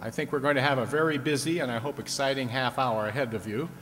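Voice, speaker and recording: A man's voice: mid-range voice, quick pace, a bit bright, lightly acted. A man playing a genial, teasing game show host on a 1950s style radio audience show, with playful, folksy patter. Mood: upbeat, welcoming. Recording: echoey hall, clear background noise.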